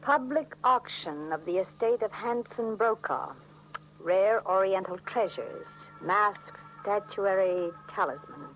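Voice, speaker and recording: A woman's voice: medium pitch, takes it slowly, fairly bright tone, faint music behind, poor audio. A woman playing a sensible, anxious, affectionate wife in 1940s radio drama style. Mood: reading aloud. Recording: old radio broadcast sound.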